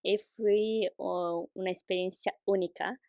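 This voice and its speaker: A young adult woman whose first language is Portuguese, a guest and international student, speaking carefully and pausing to search for words. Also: mid-range voice, steady tone, darkish tone, dry recording, studio quality. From Brazil. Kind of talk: casual interview chat.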